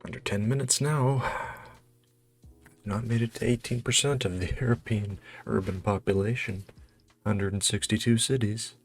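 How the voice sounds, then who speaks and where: A North American man with a fairly low voice hosts a casual quiz playthrough. He thinks out loud, often hesitating and muttering to himself. Light music plays behind him. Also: steady tone, normal speed. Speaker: host playing a geography quiz. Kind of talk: casual game commentary, thinking aloud.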